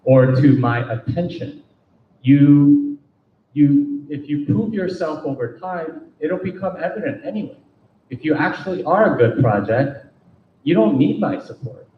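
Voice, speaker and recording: A man's voice: on the high side, lively intonation, a little slow. A man speaking bluntly at a meetup, firing rhetorical questions at the audience, heard from a distance. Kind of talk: meetup Q&A talk. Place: meeting room. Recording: roomy, distant, dull lo-fi sound with faint noise.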